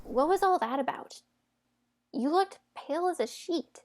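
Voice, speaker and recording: A woman's voice: high-pitched, animated, unhurried, darkish tone, slight background noise. A woman playing a spirited, outspoken young fairytale heroine in an audio drama. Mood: casual.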